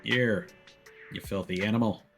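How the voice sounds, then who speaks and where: A man's voice: lowish voice, steady tone, moderate pace, creaky, fairly bright tone. A man giving casual live commentary while playing a video game, musing aloud in a conversational way. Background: music behind the voice.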